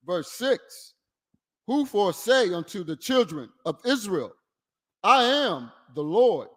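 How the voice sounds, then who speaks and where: Long hall reverb, man, high voice, lively intonation, deliberate Bible reading. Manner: measured, deliberate, phrase by phrase. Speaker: scripture reader assisting the preacher. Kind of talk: formal reading aloud of Bible verses.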